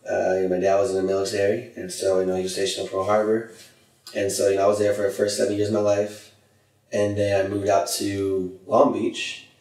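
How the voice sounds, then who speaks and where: A young man talks in a low, fairly flat voice, easygoing and filling his sentences with "you know". He sits away from the microphone, and the room around him rings with echo. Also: a little fast, distant mic. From Hawaii, raised in California. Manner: laid-back, many "you know" fillers, trails off quietly. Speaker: podcast guest, rapper. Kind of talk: casual podcast chat.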